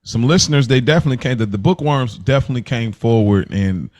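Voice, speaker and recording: A man's voice: medium pitch, fast, darkish tone. A man co-hosting a podcast in casual conversation, reflective and emphatic, checking that listeners follow him. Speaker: podcast co-host.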